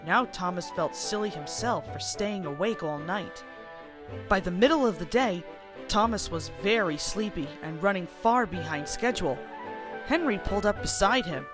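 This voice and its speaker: Female storybook narrator, lively and expressive intonation, music bed under the read. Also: fairly low pitch, normal speed. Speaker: storybook reader.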